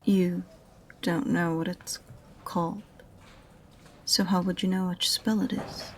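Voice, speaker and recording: Creaky, dry-witted witch librarian, acted by a woman. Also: on the low side, a little hiss or hum. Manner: dry, exasperated, condescending. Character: unenthusiastic, sarcastic witch librarian, grudgingly helpful. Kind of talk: audio roleplay acting addressed to the listener.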